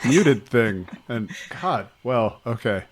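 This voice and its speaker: Man bantering over clear background noise. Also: medium pitch, animated, slow. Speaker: podcast panelist. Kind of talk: casual live-stream banter.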